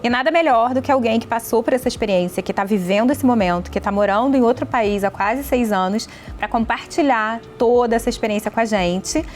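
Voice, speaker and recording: Brazilian woman hosting, slightly high voice. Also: a little fast, few pauses, slight background noise. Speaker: podcast host. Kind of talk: conversational interviewing.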